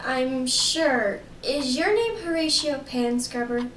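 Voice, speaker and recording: A woman's voice: high-pitched, expressive, slow pace, a bit bright, echoey room, recorded from a distance, heavily acted. A woman playing an emotional, imploring female client of a detective in a radio play. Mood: guarded. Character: distraught, pleading young woman client of a detective.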